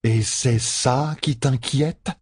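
A man voicing a hard, scornful young woman bodyguard in a fantasy audiobook, with a deliberate, dry deadpan. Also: medium pitch, breathy edge, dry recording, pitched above the performer's own voice. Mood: cold, guarded. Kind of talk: audiobook fantasy character dialogue.

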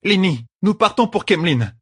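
Man voicing a proud, high-pitched mature queen. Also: big pitch swings, moderate pace, husky, no echo, studio quality, exaggerated voice. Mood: indignant. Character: proud, torn queen, a mature woman in love.

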